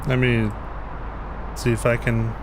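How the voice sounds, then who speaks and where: A man's voice: mid-range voice, completely flat delivery, slow pace, noisy background. A man whose first language is Danish, explaining something on a live stream and often hesitating, restarting and repeating words. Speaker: flight sim streamer. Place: home basement.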